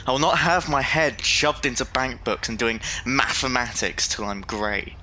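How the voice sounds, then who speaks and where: An actor plays an earnest young Victorian gentleman in an audio drama. His voice is bright and forward at a middling pitch, formal and headstrong, over faint background noise. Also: moderate pace, low-quality recording. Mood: earnest, concerned. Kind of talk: period audio drama acting.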